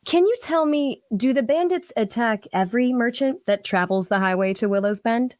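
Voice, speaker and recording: A woman's voice: a little high, expressive, normal speed, darkish tone, no room sound, close to an everyday voice. A woman playing an astute, courteous adventurer for hire in a fantasy audio drama, speaking politely and analytically. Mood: polite, inquiring. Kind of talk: audio drama table-read dialogue.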